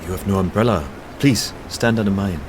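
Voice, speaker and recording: A man playing a gentle, courteous, lovestruck German professor in an audio play, with a German accent and formal, halting phrasing. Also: sits low, a little hiss or hum, natural voice.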